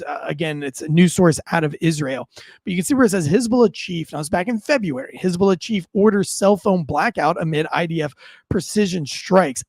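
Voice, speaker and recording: A man with a noticeably high voice and an American accent delivers informal news commentary. He talks conversationally, mixing read-out reports with his own asides. The sound is dry and close, with little room in it. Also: a little mellow, dry recording.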